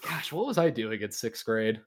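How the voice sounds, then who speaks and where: A man's voice: on the low side, expressive, moderate pace, crowd noise. A man hosting a podcast, chatting casually and informally as he interviews a guest, often thinking aloud. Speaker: podcast host.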